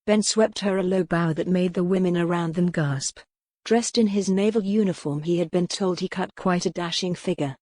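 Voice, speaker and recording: Female audiobook narrator for historical romance, lower-pitched voice, dry close studio sound. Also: normal speed, warm tone, no room sound.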